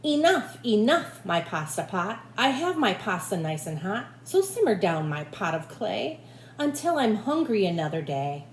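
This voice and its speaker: A woman's voice: mid-range voice, big pitch swings, a little slow. A woman voicing a foolish young man in a storybook, chanting a magic rhyme in a sing-song way, echoey room. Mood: pleased. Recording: echoey room with faint background noise.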